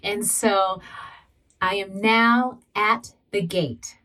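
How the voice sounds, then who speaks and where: Woman from socal preaching, slow and earnest, sounds kinda far from the mic. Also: medium pitch, steady tone, pushed and bright. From Southern California. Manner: slow, deliberate, earnest storytelling. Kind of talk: sermon with personal testimony.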